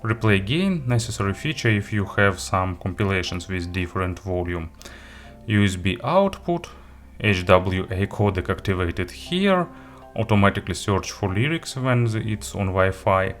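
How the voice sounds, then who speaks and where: Low voice guy, non-native english, doing a casual tech review, kinda halting, corrects himself, bit of music in the back. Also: big pitch swings. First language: not English. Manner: halting, hesitant delivery with self-corrections and listed items. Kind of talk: casual product walkthrough, unscripted.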